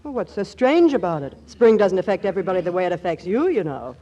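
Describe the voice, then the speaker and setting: A sharp-tongued young secretary who needles with sugary sarcasm. Her pitch swoops widely as she teases and scolds. Old 1940s radio comedy broadcast. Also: very animated, quick pace, muffled recording. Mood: wisecracking. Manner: wisecracking, sarcastic, sweetly mocking.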